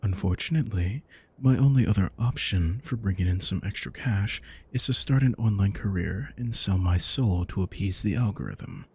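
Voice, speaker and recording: A man playing a retired supervillain turned caring, thrifty house husband in an intimate audio roleplay, smug, dry and affectionate, with a husky, gravelly voice. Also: on the low side, normal speed, a little mellow. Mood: dry, wry.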